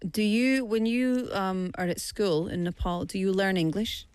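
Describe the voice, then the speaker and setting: A woman's voice: medium pitch, measured pace, darkish tone, clear, resonant tone, no room sound. A young woman from the UK hosting a studio talk show, upbeat and chatty. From United Kingdom. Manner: upbeat, chatty, self-deprecating. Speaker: radio show host. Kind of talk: casual interview chat.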